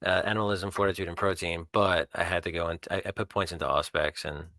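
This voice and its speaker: A man chatting casually about a roleplay game, excitable and repeating himself for emphasis. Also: low voice, fast talker, no echo, clean studio sound. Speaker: tabletop roleplay player in post-game discussion. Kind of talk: casual chat.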